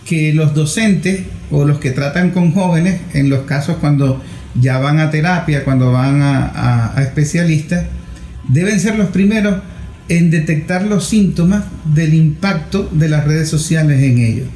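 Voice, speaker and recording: A man working as an interviewer, setting out his own views at length in a deliberate way. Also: a little high, warm tone. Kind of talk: interview questions with long premises. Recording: roomy echo.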